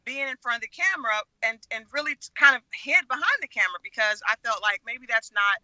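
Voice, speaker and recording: A woman's voice: a little high, lively intonation, quick pace, bright, forceful tone, dry recording, lo-fi sound. A middle-aged American woman from Oklahoma, telling personal stories conversationally as a guest in an interview. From Oklahoma, United States. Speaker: talk show guest, media personality and relationship expert.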